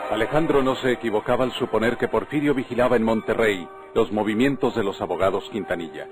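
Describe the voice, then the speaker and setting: A man's voice: fairly low pitch, quick pace, loud music behind the voice. A man with a Mexican accent reading scripted narration for an old radio drama.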